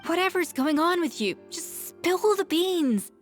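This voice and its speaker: A woman's voice: very high voice, lively intonation, unhurried, big-room sound, faint music behind. A woman playing a poised, blunt master chef in a video game, composed, matter-of-fact and authoritative. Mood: cool, firm.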